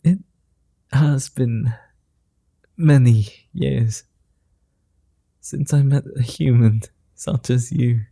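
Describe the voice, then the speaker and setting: A man playing a slow, dark-voiced courteous vampire. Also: medium pitch, vocal fry, no echo, exaggerated voice. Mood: amused. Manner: formal, old-fashioned phrasing with soft chuckles. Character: gentlemanly male vampire, courteous and amused. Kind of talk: ASMR roleplay.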